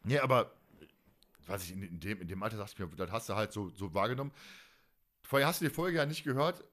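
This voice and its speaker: A man's voice: fairly low pitch, expressive, quick pace, fairly bright tone. Man in his forties, colloquial rambler. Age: 40s. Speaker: fan podcast host. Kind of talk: casual chat.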